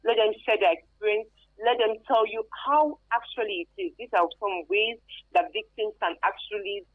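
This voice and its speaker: A woman's voice: mid-range voice, normal speed, no echo. A woman with a Nigerian accent explaining earnestly as an expert interview guest, repeating phrases for emphasis, on a phone-like radio recording. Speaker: expert guest, career advisor.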